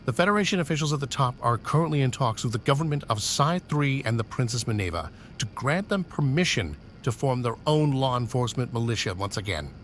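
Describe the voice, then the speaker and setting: A man's voice: mid-range voice, animated, brisk, hardly any pauses, close to an everyday voice. A stern senior officer barking clipped, commanding orders at his subordinate , as a man plays him. His voice sits in a middle register, with a faint hiss of noise under it in this sci-fi audio drama.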